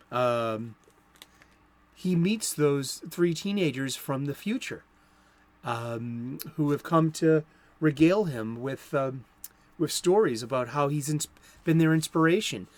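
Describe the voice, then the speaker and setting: A man from Boston hosting a solo comics talk show, chatty and playful with rambling asides. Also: a little high.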